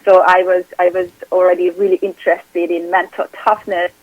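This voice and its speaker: Low-voiced Hungarian woman, dry sound. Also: level tone, normal speed, no echo, phone-quality sound. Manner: earnest, repeats words and restarts often. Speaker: performance coach. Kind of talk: interview guest.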